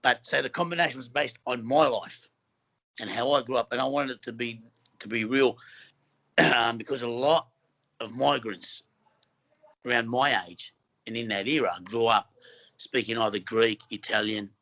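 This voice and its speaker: Earnest Australian man, bright voice. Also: mid-range voice, low-quality recording. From Western Sydney, Australia. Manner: earnest, rambling, many fillers and restarts. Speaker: film actor and guest. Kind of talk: casual interview conversation.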